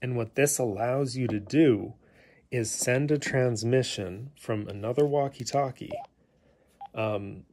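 A man's voice: mid-range voice, measured pace, a little mellow. A man giving a casual, unscripted gadget tutorial, explaining things in a hesitant, rambling way. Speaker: hobbyist demonstrating a gadget. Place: indoors in a living room.

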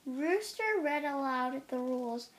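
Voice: high voice, animated, measured pace. A young reader reading a children's picture book aloud, carefully, with the occasional stumble. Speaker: storybook reader.

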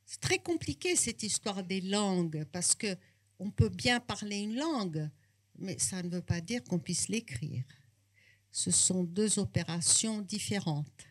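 An older woman, speaking French at a bookshop talk on a handheld mic, reflective and full of restarts. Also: lowish voice. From Brazil. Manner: unhurried, reflective, hesitant restarts. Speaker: author and psychoanalyst. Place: bookshop, indoors.

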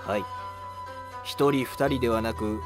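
A man playing an obsequious informant at an ancient Japanese court, humble and formal, in a historical animated drama. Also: medium pitch, measured pace, soft background music, natural voice. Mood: respectful, earnest.

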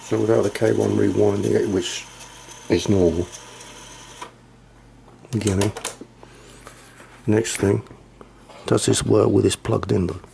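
Man, low gravelly voice, rambling, self-deprecating, casual demo, faint hiss. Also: level tone, unhurried, long pauses, warm tone, rough recording. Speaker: retro computer hobbyist.

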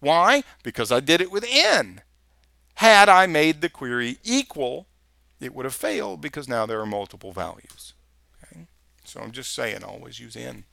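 A man with a Texas accent, walking through a software screencast in a casual, think-aloud way. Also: medium pitch, big pitch swings, moderate pace, gravelly, no echo. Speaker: software instructor. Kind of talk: screencast tutorial walkthrough. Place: voice-over of a screen recording.

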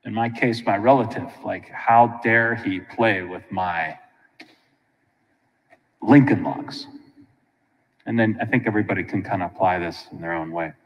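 American priest preaching in a reverberant church. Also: male voice, medium pitch. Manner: colloquial and animated, with frequent asides to listeners. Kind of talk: informal conversational sermon. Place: large church sanctuary, indoors.

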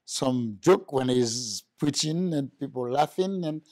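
An older man speaking softly and haltingly about his strict former pastor in an interview. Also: medium pitch, animated, dry recording, clean studio sound. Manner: soft-spoken, halting. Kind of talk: interview reminiscence.

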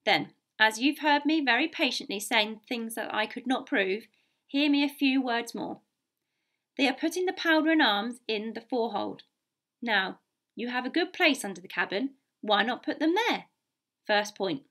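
Storybook sea captain, played by a woman reader: stern, gruff man, curt and emphatic, formal in his speech. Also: fairly high pitch, expressive, moderate pace, a bit bright. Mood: firm, insistent. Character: gruff, blunt, stern male ship's captain. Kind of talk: audiobook character voice.

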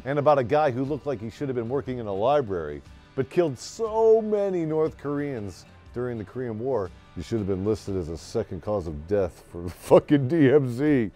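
Male American storyteller-host, animated and mellow, brash comic swagger, scripted dramatic narration. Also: medium pitch, brisk. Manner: theatrical, brash, tongue-in-cheek. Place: small wood-panelled room, indoors. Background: faint music.

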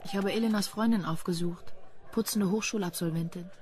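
Crime radio play: actress as cool female detective, mid-pitched, sardonic, music underneath. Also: fairly quick, darkish tone, natural voice. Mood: businesslike, dry. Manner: dry, sardonic. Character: cool, quick-witted female police detective. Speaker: radio drama actor.

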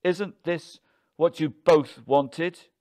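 A man playing a tense, bitter older husband in an audiobook, defensive, confrontational and quick to anger. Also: on the high side, steady tone, dark tone, pitched above the performer's own voice. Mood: frenzied.

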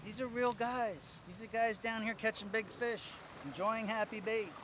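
An American man hosting a fishing vlog, chatting casually in animated, slangy talk outdoors on a beach. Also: high voice, moderate pace. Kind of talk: casual excited fishing chat. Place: outdoors on an open ocean beach. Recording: noisy surf and wind outdoors.

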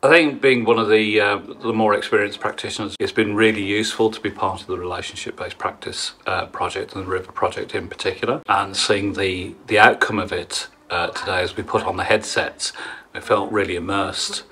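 Bright, forceful low-voiced man. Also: steady tone, brisk, a little hiss or hum. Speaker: social work practitioner. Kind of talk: testimonial to camera. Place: plain indoor room.

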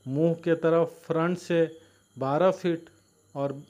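A man giving instructional farming narration in a halting way, breaking his sentences into short phrases. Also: fairly high pitch, fairly even intonation, darkish tone, muffled recording.

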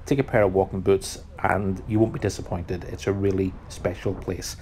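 A man's voice: deep voice, little pitch movement, normal speed, a little mellow, a little hiss or hum. A man in his forties, a travel vlogger, delivering scripted travel narration as host.

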